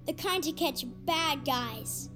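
An eager young child in an audio drama. The voice is tiny and very high, with slow, innocent phrasing. Faint music sits behind it. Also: female voice, lively intonation, a bit bright, exaggerated voice. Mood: proud, excited.

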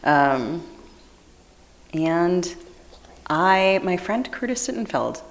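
A woman's voice: on the low side, sing-song, very slow. A woman co-hosting a live podcast panel, speaking casually with many fillers and restarts. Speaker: podcast co-host. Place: live event stage.

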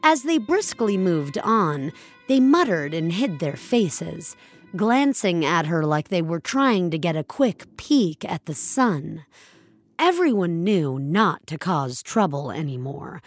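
A woman's voice: fairly low pitch, very animated, takes it slowly, soft background music. A woman reading scripted audio fiction as a dramatic narrator.

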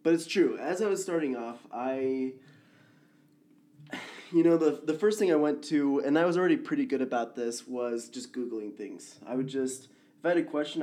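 A man with a touch of hoarseness talks casually as a podcast co-host. His pitch sits in the middle range, and his delivery is rambling and full of restarts. He laughs easily and talks about his own experience. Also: warm tone. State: slightly hoarse. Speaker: podcast co-host, newer coder. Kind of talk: casual chat.

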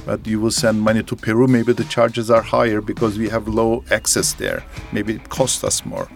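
A low-voiced man with a gravelly edge speaks in an interview, answering earnestly and pausing on fillers. His phrasing is halting. Faint music plays underneath. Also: lively intonation. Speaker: company executive, interview guest.

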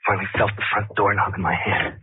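A man's voice: sits low, steady tone, fast, narrow, tinny audio, background noise, close to an everyday voice. An actor playing a furtive, frightened man with a deadly plan, telling his story tensely in 1940s radio suspense style. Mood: hushed, fearful. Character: furtive, frightened man plotting a killing, narrating his deed. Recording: 1940s radio recording with loud organ-era music behind.

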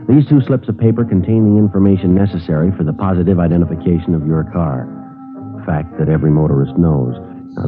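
An actor playing a businesslike police sergeant narrating in a clipped, deadpan, matter-of-fact way for 1950s radio. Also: male voice, a bass voice. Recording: phone-like vintage radio broadcast.

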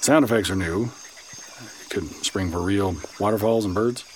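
A man's voice: fairly low pitch, normal speed, darkish tone, slight background noise, natural voice, low-quality recording. A man playing a wry, reluctant estranged son in naturalistic audio drama dialogue. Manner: wry, sardonic.

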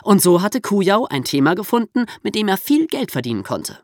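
A man's voice: sits high, big pitch swings, a little fast, dry recording, clean studio sound. A man co-hosting a podcast, explaining history in a conversational storytelling manner. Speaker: podcast host.

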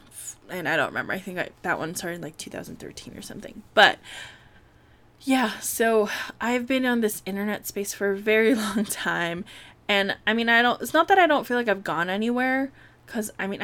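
A woman's voice: mid-range voice, a bit bright. A woman in her late twenties hosting a casual solo podcast, rambling with lots of fillers, swearing freely and laughing often. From United States. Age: late 20s. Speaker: podcast host.

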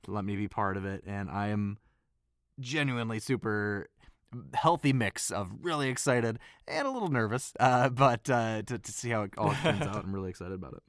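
Voice actor guy, low voice, friendly and self-deprecating, super dry close mic. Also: lively intonation, normal speed, a bit bright, dry recording. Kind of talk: casual behind-the-scenes chat.

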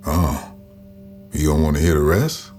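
Here is a storyteller with a rumbling, very low voice. He is knowing and teasing, in the manner of an audio drama, with faint music behind him. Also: measured pace, a little mellow. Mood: knowing, intriguing.

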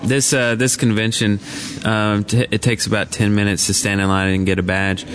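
A man on a live podcast with a fairly low voice. He explains things step by step, pausing often with uh and um. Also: little pitch movement, a bit bright, a little hiss or hum. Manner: explaining with fillers. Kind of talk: casual live podcast chat.

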